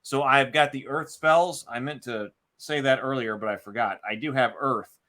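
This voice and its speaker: Bright-voiced man narrating solo board game play. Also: medium pitch, fairly even intonation, normal speed. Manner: thinks aloud, announces dice counts and moves. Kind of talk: casual live play-by-play with narrated moves.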